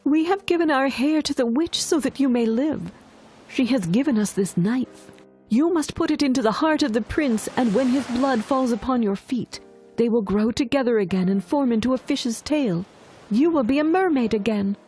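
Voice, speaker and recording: A woman's voice: fairly high pitch, normal speed, mellow, slightly rough, soft background music, pitched above the performer's own voice. A woman voicing a grieving, urgent mermaid sister in a fairy tale, with a pleading delivery. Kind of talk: fairy tale audiobook dialogue.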